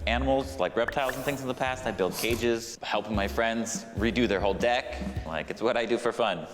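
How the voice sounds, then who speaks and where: A man of thirty talking in a plain, low-key way about his hobbies. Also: fairly quick, big-room sound, soft background music. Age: 30s. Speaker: show participant.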